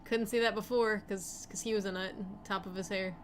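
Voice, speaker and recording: A woman co-hosting a casual game playthrough at home, chatting and reading the game's text aloud in a giggly, quick, bossy way. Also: medium pitch, steady tone, background noise. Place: home room. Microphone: shared desk microphone.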